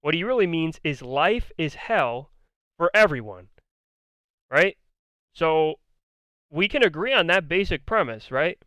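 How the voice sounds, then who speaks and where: A man giving blunt, argumentative, profane commentary, repeating his points, his voice going hoarse. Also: fairly high pitch, animated, a little slow, pauses often, pushed and bright, no echo. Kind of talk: ranting commentary on a debate.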